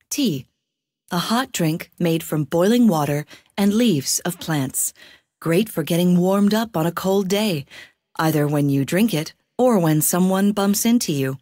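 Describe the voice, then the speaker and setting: A woman reading scripted food descriptions for a game in a sarcastic, deadpan way. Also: on the low side, dry recording. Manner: dry, sarcastic deadpan delivery. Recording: dry studio recording.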